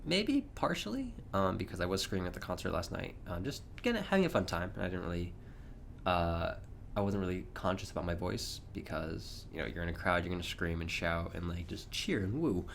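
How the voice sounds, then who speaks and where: A slightly hoarse young man hosting a casual solo podcast, rambling chattily with frequent chuckles. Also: low voice, lively intonation, fairly quick, a little hiss or hum. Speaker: podcast host.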